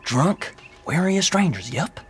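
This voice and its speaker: An actor playing a tough, crude American frontier outlaw in a western game, folksy and matter-of-fact. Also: male voice, sits high, animated, a little slow, fairly bright tone, breathy edge, full character voice. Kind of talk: video game western acting. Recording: music behind the voice.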